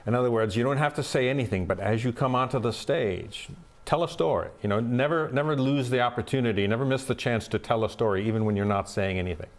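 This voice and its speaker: A man's voice: medium pitch, fast. An American man, a stage actor, speaking thoughtfully in an interview and repeating words as he starts his sentences. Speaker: actor in a community theater play. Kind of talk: casual interview chat.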